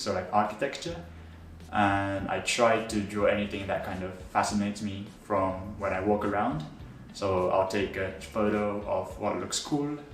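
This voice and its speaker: A young man, a student, casually answering interview questions in a relaxed, rambling way with many fillers. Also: lowish voice. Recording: roomy, distant mic with faint noise.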